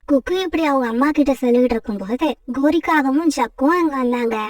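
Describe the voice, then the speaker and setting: A woman's voice: high voice, a little fast, a little mellow, dry recording, studio quality, distant mic. A woman storyteller giving scripted narration of a children's story.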